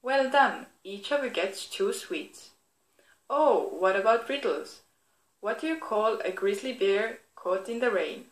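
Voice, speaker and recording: A woman's voice: mid-range voice, expressive, a little slow, echoey room, close to an everyday voice, poor audio. A woman voicing a friendly, clever fairy tale teddy bear toy, presenting itself to children in an encouraging, instructive way. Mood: encouraging, playful. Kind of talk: scripted product demonstration for children.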